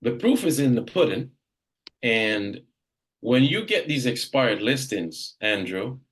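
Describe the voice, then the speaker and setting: A man from Jamaica runs a group coaching session for real estate agents. He is direct and emphatic and often repeats a point twice. His voice sits at an ordinary middle pitch. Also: very animated, takes it slowly, rough recording.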